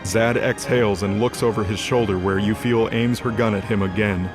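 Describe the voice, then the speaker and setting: Guy narrating a dramatic story, lowish voice, music going behind him. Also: fairly even intonation, normal speed.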